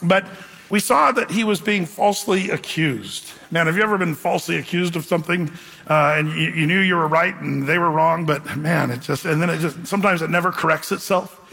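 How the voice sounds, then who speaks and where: Pastor, middle-aged man, bright husky voice, rambling storyteller, reverberant hall. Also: on the high side, brisk. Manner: casual, rambling, fillers like "man" and "you know". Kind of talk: sermon with storytelling. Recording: long hall reverb, faint music.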